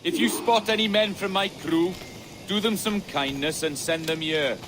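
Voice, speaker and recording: An actor playing a shrewd, calculating pirate captain who talks in a measured, scornful, quietly menacing way. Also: male voice, high voice, natural voice. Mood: urgent. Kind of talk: video game cutscene acting. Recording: game audio with ambient noise.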